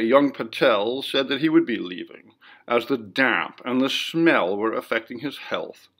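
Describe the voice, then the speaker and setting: A man reading a classic ghost story as scripted narration, in a measured, formal manner with acted dialogue. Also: mid-range voice, creaky, fairly bright tone. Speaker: audiobook reader.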